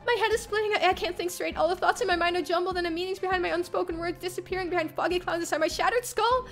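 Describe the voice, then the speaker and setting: A woman plays an anguished young heroine in a horror visual novel. Her voice is very high and dramatic, with faint music underneath as she narrates in the first person. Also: very fast, a bit bright.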